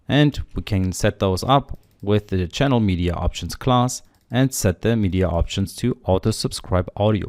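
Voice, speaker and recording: A man walks through a programming tutorial, explaining each step in a steady, matter-of-fact way as he types. His voice sits on the low side and is recorded close and dry, with almost no room sound. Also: no echo. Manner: steady explanatory, thinking aloud while typing. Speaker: coding tutorial instructor. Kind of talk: screen-recorded coding walkthrough.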